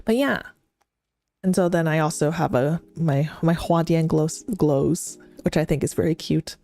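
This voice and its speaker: A young adult woman streaming as a VTuber, talking in a chatty, rambling way with many fillers and restarts. Her voice is fairly deep. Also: a little slow, mellow. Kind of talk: casual chat stream. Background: faint background music.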